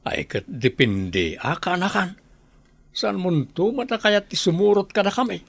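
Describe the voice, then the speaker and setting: Gravelly, carefree hard-drinking uncle, Filipino accent. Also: male voice, high voice, very animated, measured pace, no room sound, heavily acted. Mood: jovial, coaxing. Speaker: radio drama actor. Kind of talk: radio drama acting.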